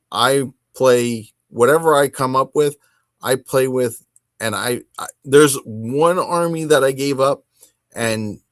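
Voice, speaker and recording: A man's voice: lowish voice, no echo, studio quality. A middle-aged man hosting a casual solo hobby podcast, talking in an informal, rambling way with emphatic turns of phrase. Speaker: podcast host.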